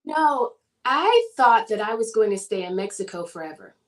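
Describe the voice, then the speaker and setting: A woman from the southern United States, a guest in a casual interview, telling her story in an animated way and laughing often. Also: on the high side, moderate pace.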